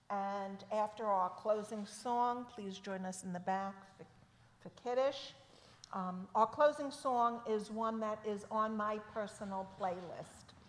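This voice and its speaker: A woman leads a synagogue service in an unhurried, measured voice. Her words ring out through an echoey sanctuary with a long reverb tail. Her pitch sits in an ordinary middle range. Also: a little hiss or hum. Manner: slow, measured reading of names. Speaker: service leader. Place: temple sanctuary.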